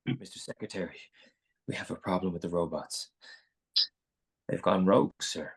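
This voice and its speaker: An actor playing a brusque, exasperated Navy admiral with a gravelly, husky voice, giving halting, clipped orders in a screenplay table read. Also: low-pitched, heavily acted, low-quality recording. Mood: tense, deferential.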